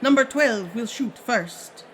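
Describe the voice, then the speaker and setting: A woman's voice: on the high side, lively intonation, slow pace, slight background noise. A woman playing a protective, commanding Amazon queen in a stately, formal style of 1940s radio serial acting. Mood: commanding, proclaiming.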